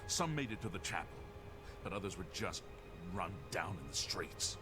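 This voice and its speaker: An actor playing a grim, gruff male guard captain defending a burning city, urgent and despairing, over game music. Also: sits low, big pitch swings, normal speed. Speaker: soldier commander. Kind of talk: video game voice acting.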